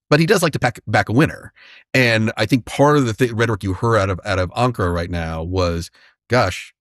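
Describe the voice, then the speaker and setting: American man, lowish voice, quick pace, informal panelist, repeated words, dry recording. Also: dry recording. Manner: informal, stammering word repetitions. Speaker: foreign policy panelist. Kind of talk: conversational political analysis.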